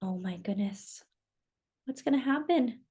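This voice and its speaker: High, animated woman reading to children. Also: measured pace, breathy edge. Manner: exclamatory, addresses young listeners with questions. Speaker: children's storytime reader. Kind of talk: expressive picture-book read-aloud with chatty asides to children.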